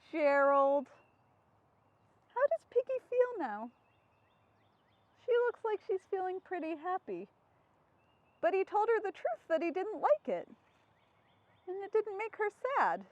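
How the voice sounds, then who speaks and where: Female storytime host: very high voice, unhurried, expressive, child-directed read-aloud with questions. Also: no room sound, highs cut off.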